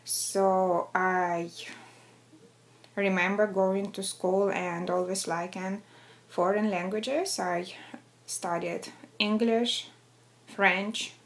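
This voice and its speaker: A woman in her thirties with a Russian accent speaks at a middle pitch. She is a native Russian speaker, answering in a personal interview style. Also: fairly even intonation, very slow, long pauses. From southern Russia. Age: 30s. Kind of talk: personal interview about own schooling and life.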